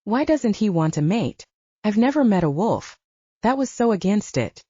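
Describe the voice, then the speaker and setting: A woman plays an indignant young she-wolf from a romance audiobook. She is blunt and challenging, with a husky voice and lively, swinging pitch. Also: moderate pace, no echo, lo-fi sound. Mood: indignant disbelief.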